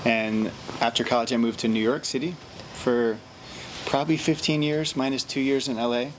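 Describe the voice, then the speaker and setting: A middle-aged man from Virginia, a comedian, chatting casually in an interview, relaxed and chuckling as he thinks aloud. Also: mid-range voice, moderate pace. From Virginia, USA. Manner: relaxed, chuckles, repeats words while thinking. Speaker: comedian guest. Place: on board a cruise ship. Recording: noisy background on a ship.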